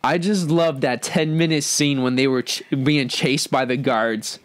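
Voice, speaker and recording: A man hosting a casual entertainment podcast, talking in an excitable, slangy way. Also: medium pitch, measured pace. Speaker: host.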